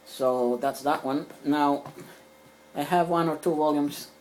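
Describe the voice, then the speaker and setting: A man's voice: on the high side, steady tone, slow, darkish tone, slight background noise, poor audio. A middle-aged man whose first language is Serbian, casually showing his comic collection at home, rambling with fillers and restarts. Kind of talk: casual collector show-and-tell. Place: small room at home.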